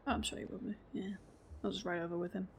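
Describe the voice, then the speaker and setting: A woman streamer chatting casually while she plays, relaxed and self-deprecating, swearing now and then and laughing often, a deep voice at a desk mic set back a little. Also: quick pace, gravelly, a little hiss or hum. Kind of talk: casual livestream chat while playing. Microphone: desk mic moved a little further from her face.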